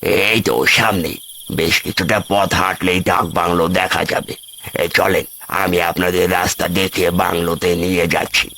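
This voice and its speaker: A man's voice: sits low, fairly even intonation, a little slow, gravelly, noisy background. A man voicing a mysterious, scornful elderly village man in a cartoon ghost story. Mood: guiding. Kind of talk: cartoon horror story voice acting.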